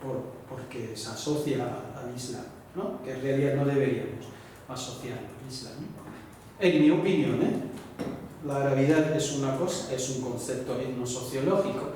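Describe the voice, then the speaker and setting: A man's voice: mid-range voice, moderate pace, darkish tone, a little hiss or hum. A man lecturing a university class and taking questions, who keeps checking in with tag questions. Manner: explanatory, frequent "¿no?" tag questions. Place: large echoey classroom. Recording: echoey hall, voice from far off.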